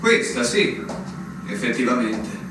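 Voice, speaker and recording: Courteous musing army captain, really swoopy delivery, radio drama, distant from mic. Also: male voice, mid-range voice, big pitch swings, slow pace. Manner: formal, patronizing courtesy. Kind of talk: theatrical radio drama acting. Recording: echoey, distant, noisy stage recording.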